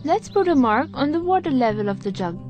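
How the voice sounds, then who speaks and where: A woman plays a young, knowing guide in a children's educational cartoon. The voice is high and swings animatedly as it explains, with light music underneath. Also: very animated, fairly quick, a little mellow. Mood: explaining.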